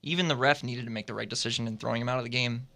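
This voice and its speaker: A man's voice: medium pitch, fairly even intonation, very fast, gravelly, sharp tone, dry recording. Man talking sports in a dry studio. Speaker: sports talk show panelist. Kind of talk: roundtable sports commentary. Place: radio studio.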